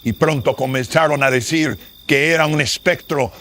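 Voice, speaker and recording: A man's voice: a little high, normal speed, fairly bright tone, slight background noise, close to an everyday voice. A man playing a solemn, formal character with a painful secret in a horror radio drama. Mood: grave, confiding. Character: man with a painful secret, reassuring a frightened priest. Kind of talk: Spanish-language horror radio drama acting.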